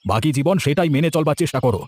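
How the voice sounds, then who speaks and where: A man's voice: on the high side, expressive, rapid-fire, darkish tone, no echo. A man voicing a helpful, frank village man in a cartoon story, giving reassurance and preachy advice. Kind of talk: Bengali cartoon story voice acting.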